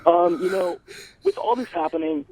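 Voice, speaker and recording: Very high, creaky male caller. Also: very animated, moderate pace, a crowd in the background, poor audio. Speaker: prank phone-in caller. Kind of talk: live phone call to a broadcast.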